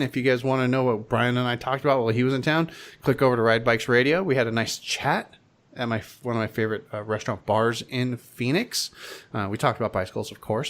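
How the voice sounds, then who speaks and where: A man's voice: medium pitch, fairly bright tone. Casual, rambling male podcast host. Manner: informal, rambling, wry. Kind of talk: casual podcast chat with sponsor plugs.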